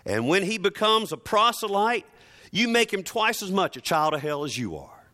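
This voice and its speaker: A man voicing an indignant biblical prophet in a sermon, scolding hypocrites in a declamatory, drawn-out manner. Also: high voice, very animated, bright, forceful tone, pitched above the performer's own voice, heavily acted. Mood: indignant, denouncing.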